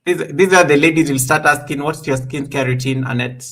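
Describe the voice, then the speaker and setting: Gravelly-voiced man in his thirties hosting. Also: a little high, brisk, slight background noise. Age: 30s. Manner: relaxed, encouraging, talks in looping restarts. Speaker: host. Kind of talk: casual live-stream interview.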